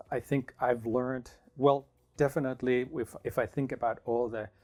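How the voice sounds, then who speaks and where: A middle-aged man from Finland, a professor answering interview questions in a thoughtful, measured way with small restarts. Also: medium pitch, a little mellow. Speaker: political science professor.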